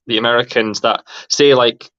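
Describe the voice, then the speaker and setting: A man with a light northern Scottish accent hosts a relaxed livestream chat, stopping and restarting his sentences with plenty of fillers. His voice sits at an ordinary pitch. The audio is dry and dull, with no room sound. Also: dry recording. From northern Scotland. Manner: halting, many fillers and restarts. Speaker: host.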